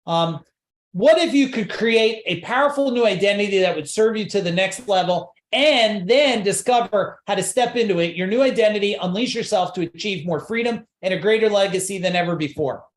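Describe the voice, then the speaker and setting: A man's voice: high voice, brisk, echoey room. A man coaching a live online seminar, pushing emphatic rhetorical questions and repeating his points. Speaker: business coach.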